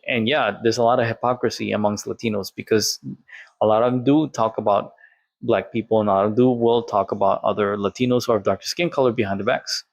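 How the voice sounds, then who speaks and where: Man, lowish voice, candid vlog storytelling, dry close recording. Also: dry recording. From Colombia. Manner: candid, conversational, quotes others in dialogue. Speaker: vlogger. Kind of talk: personal storytelling monologue.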